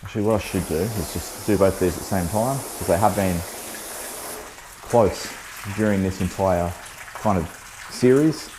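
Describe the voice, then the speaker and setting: A man hosting a car detailing vlog, talking casually about a product test while losing his voice, a little hoarse, with plenty of "um" and "so yeah". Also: deep voice, normal speed, noisy background. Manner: relaxed, chatty, frequent "um" and "so yeah". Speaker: car detailing channel host. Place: outdoors beside a test panel.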